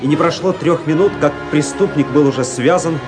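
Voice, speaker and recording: A man playing a composed, daring army officer who narrates his own tale in a measured, grave, literary way for a radio play. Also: on the high side, lightly acted. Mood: dramatic, excited. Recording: music behind the voice.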